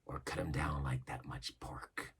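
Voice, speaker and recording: A man voicing a cunning older cook with a gravelly, breathy growl and speech, swinging between bluster and whispers. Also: a bass voice, steady tone, a little slow, a bit bright, pitched below the performer's own voice, exaggerated voice. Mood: scheming. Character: scheming one-legged pirate cook, older man, cunning.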